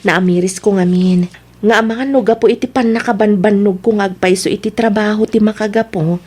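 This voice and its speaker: A woman's voice: medium pitch, fairly even intonation, fairly quick, darkish tone, husky, close to an everyday voice. A Filipino woman acting in an audio drama as a troubled, regretful wife and schoolteacher. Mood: earnest.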